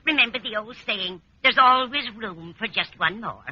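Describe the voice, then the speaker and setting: A woman's voice: on the high side, expressive, moderate pace, bright, forceful tone, husky, exaggerated voice. A woman playing a kindly, meddling older landlady in an old radio sitcom, coaxing in a motherly, fussy way. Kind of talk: 1940s-50s radio sitcom acting. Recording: muffled, hissy vintage radio recording.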